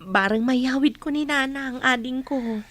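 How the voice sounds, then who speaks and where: Actress as resentful eldest daughter, young, very high bright voice, plaintive, reproachful, radio drama. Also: female voice, unhurried. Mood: weary.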